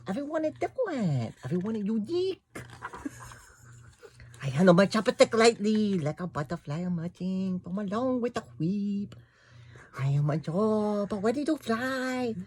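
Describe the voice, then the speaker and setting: A man's voice: high voice, slightly rough. A man in his thirties reading a children's picture book aloud to his toddler in a small bedroom, recorded close on a phone. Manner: put-on accent in quoted lines, slow and laughing. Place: small bedroom at home, indoors. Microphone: phone camera held close.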